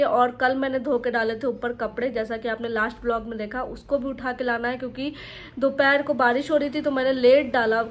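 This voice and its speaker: Chatty high-voiced woman vlogger. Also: slight background noise. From Bangalore, India. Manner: chatty, talks straight to viewers as friends. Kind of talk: casual vlog narration.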